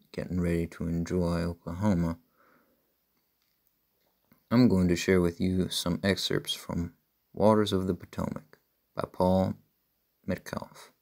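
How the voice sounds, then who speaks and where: A man reading historical excerpts from a script in a measured, solemn manner. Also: low voice, vocal fry, a little mellow. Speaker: reader.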